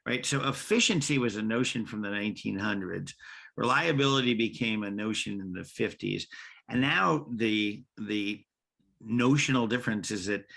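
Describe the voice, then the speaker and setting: Male host, gravelly low voice, forceful, rambling with restarts. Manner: rambling, many restarts and repeated words. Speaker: podcast host. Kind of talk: conversational podcast interview.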